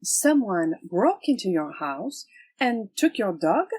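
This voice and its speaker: A woman's voice: medium pitch, very animated, takes it slowly, a little mellow, slightly rough, no echo, full character voice, rough recording. A woman playing a proud, teasing swashbuckling swordswoman in an audio drama, with a theatrical, imperious manner. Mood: resolute. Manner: theatrical, formal phrasing, imperious.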